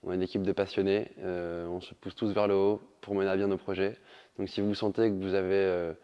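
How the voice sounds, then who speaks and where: A man's voice: sits low, little pitch movement, fairly quick, clean tone, muffled recording. A man speaking as a sales manager in an interview, explaining his work and often repeating words as he searches for phrasing. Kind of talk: recruitment interview testimonial.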